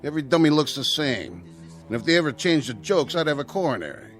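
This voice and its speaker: An actor playing a cynical, grumbling nightclub boss in a radio play. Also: male voice, a little high, very animated, fast talker, faint music behind.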